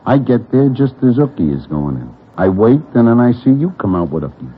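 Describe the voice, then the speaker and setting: A man playing a laconic, oddly polite Broadway gunman in a radio drama, with a husky voice. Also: medium pitch, very animated, a little fast. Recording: narrow lo-fi radio sound.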